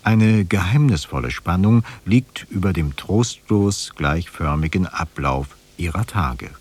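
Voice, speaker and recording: A man's voice: low-pitched, animated, measured pace, breathy edge. A man working as a radio announcer, giving a scripted formal introduction to a play.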